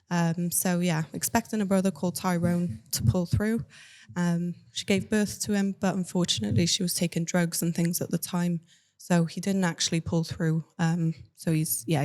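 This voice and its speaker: A woman's voice: lowish voice, a little mellow. A young woman from Cardiff with a Welsh accent, telling her life story to an interviewer in a candid, matter-of-fact way. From Cardiff, Wales. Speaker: guest.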